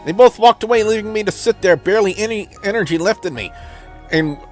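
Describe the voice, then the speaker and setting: A man's voice: high-pitched, fast. A man hosting a let's play, reading visual novel narration aloud in a dramatic storytelling style. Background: music.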